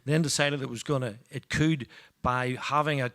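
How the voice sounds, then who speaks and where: A man from Belfast with a faint, softened Northern Irish accent, speaking on a business panel in a measured, storytelling way with dry humour. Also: medium pitch, fairly even intonation. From Northern Ireland. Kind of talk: panel discussion.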